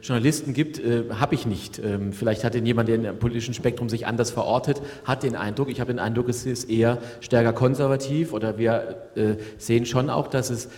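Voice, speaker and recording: A man's voice: medium pitch, steady tone, brisk, hardly any pauses, darkish tone. Hesitant male politician on a stage. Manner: hesitant, many fillers and restarts. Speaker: politician, panel guest. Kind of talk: unscripted panel answer. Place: conference stage. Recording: reverberant hall.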